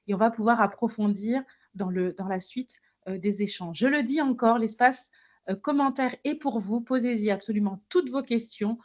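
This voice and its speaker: A woman's voice: mid-range voice, a little mellow. A woman moderating an online conference, speaking spontaneously to the panel and viewers. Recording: dull, lo-fi online call audio.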